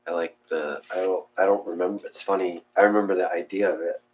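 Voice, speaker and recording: A man in a casual, reflective discussion, thinking aloud in a halting way and trailing off. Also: low voice, fairly even intonation. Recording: muffled, with faint hum.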